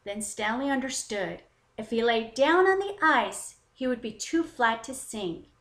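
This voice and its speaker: A woman with a fairly high voice narrates a picture book for young children. Her delivery is expressive and deliberate. The recording has a noticeably roomy echo. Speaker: children's story time host and narrator.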